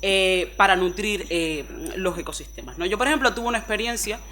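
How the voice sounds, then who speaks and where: This is a woman with a Cuban Spanish accent speaking on a forum panel. Her voice sits at a mid pitch, and her delivery is expressive and chatty, full of little tag questions. A faint electrical hum runs under the recording. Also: a little fast. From Cuba. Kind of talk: panel discussion talk.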